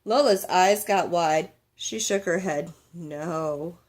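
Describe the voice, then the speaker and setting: Female storybook reader, expressive narration for young children, medium pitch. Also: a little slow. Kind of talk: expressive read-aloud of a children's picture book, with character voices.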